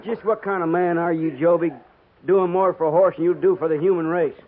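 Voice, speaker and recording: An actor playing a grumbling, needling American cavalry trooper with a folksy drawl in a 1950s radio drama. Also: male voice, a little high, steady tone, fairly quick, natural voice. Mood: resentful. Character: grumbling, taunting cavalry trooper of the old West. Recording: tinny, noisy 1950s radio recording.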